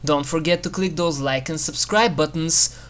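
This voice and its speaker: A narrator reading a scripted list review with drawn-out, theatrical phrasing and dry humour, as a video host. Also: medium pitch, a bit bright. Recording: music under the voice. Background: eerie game soundtrack music.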